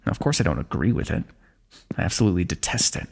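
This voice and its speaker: A man voicing a kingdom's ruler who gently chides and teases a wounded companion while tending his injury, in an intimate fantasy roleplay. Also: low voice, sing-song, fast talker, creaky, a little hiss or hum. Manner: gentle, chiding, teasing, close and intimate. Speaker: ASMR roleplay performer. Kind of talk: scripted fantasy ASMR roleplay.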